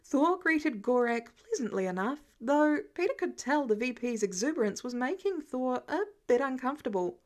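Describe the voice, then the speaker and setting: A woman's voice: sits high, expressive, normal speed, few pauses, a little mellow. A woman reading fan fiction aloud as scripted story narration.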